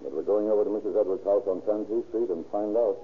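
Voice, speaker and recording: An actor playing a tough but soft-hearted police detective in a radio crime drama, with an American accent and a clipped, slangy, wry delivery. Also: male voice, fairly low pitch, quick pace, over loud music, natural voice. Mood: wry, businesslike. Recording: scratchy, tinny old radio recording.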